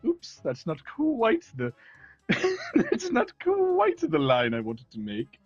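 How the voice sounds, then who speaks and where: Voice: medium pitch, huge pitch swings, slow, slightly rough, no echo, dull audio, soft background music, heavily acted. A performer voicing a theatrical villain barber who cackles often and speaks in pompous, old-fashioned phrases. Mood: gleeful menacing. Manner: archaic pompous speech, frequent cackles.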